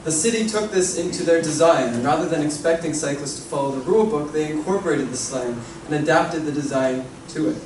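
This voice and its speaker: A man's voice: on the high side, a little fast, few pauses. A man giving a short scripted talk as a presenter, with some hesitant fillers. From Canada. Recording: echoey hall, mic at a distance, background noise.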